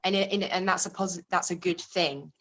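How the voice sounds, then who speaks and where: A woman with a somewhat low-pitched voice hosts a relaxed conversation. She speaks informally, explaining as she goes and steering the talk like an interviewer. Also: fairly quick. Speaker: interviewer, show host.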